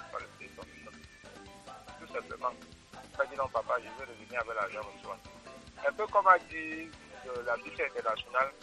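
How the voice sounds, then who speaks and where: A man's voice: medium pitch, pushed and bright, poor audio. A man on a radio talk show, speaking earnestly about raising children. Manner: earnest, moralizing. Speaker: guest.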